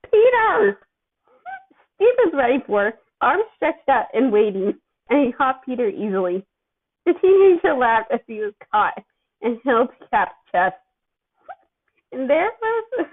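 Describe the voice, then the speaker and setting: A woman giving an expressive amateur reading of a story as its narrator. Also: fairly high pitch. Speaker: amateur fan fiction reader. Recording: thin phone-like sound.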